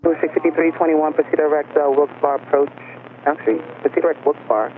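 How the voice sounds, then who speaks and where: A man's voice: a little high, fairly even intonation, fast talker. A man working as an air traffic controller, giving clipped, procedural instructions over aviation radio. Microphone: aviation radio link. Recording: tinny, noisy aircraft radio transmission.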